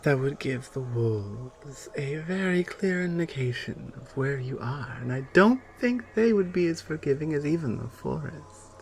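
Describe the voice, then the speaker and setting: Casting: actress as proud Amazonian warrior woman for ASMR audio drama; commanding, unhurried, expressive range, noisy ambient backdrop. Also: sits low. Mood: cool, threatening. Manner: measured, commanding, deliberate pauses. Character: proud stern Amazonian warrior woman guarding an enemy prisoner.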